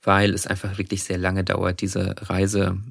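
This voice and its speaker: A man's voice: sits low, monotone, measured pace, vocal fry, dry recording, studio quality. A man co-hosting a podcast, talking through a story in a chatty way with frequent restarts. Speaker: podcast co-host, presenter of the story. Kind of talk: casual podcast conversation.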